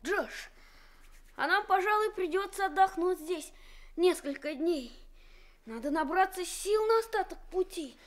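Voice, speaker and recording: A woman's voice: very high voice, lively intonation, a little slow. A woman voicing a weary teenage boy on the road in a radio play, sounding tired and coaxing. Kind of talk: Soviet radio drama acting.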